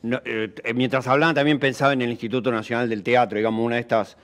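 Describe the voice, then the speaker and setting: A man's voice: medium pitch, fairly even intonation, fast, fairly bright tone. A man with a Rioplatense Argentine accent interviewing reflectively, searching for his words and checking for agreement with tag questions. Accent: Argentine Rioplatense Spanish.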